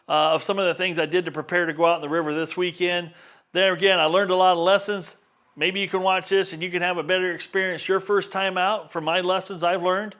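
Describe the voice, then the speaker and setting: A man's voice: sits high, fairly bright tone. A man casually talking viewers through his boat gear setup in an upbeat, chatty way. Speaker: vlogger. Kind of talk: casual how-to vlog. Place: backyard workshop and boat.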